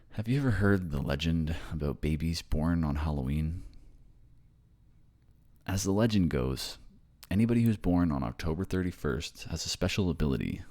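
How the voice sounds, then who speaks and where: A man's voice: rumbling low, darkish tone, exaggerated voice. A man acting in an ASMR roleplay as an earnest, reassuring boyfriend raised by ghosts, with a hesitant manner.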